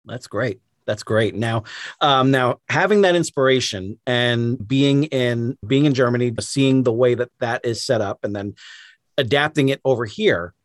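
An interviewer hosting a casual chat, encouraging and quick to affirm the guest. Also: mid-range voice, no room sound, studio quality. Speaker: interviewer host.

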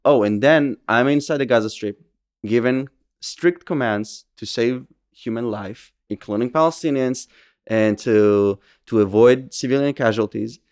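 A man from Israel in his late twenties with an Israeli accent, telling his story as an interview guest in a quick, energetic way full of fillers. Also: medium pitch. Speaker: guest, social media activist. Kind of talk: conversational interview.

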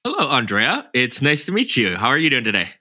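A man's voice: mid-range voice, huge pitch swings, fairly quick, sharp tone. A man co-hosting a podcast, enthusiastic and upbeat as he welcomes guests. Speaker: podcast co-host. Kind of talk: podcast interview.

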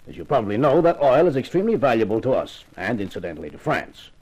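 A man's voice: medium pitch, sing-song, quick pace, a little mellow, low-quality recording. A man playing a courteous, authoritative gray-haired government official in a 1950s radio crime drama, speaking formally and explaining carefully. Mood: earnest, authoritative.

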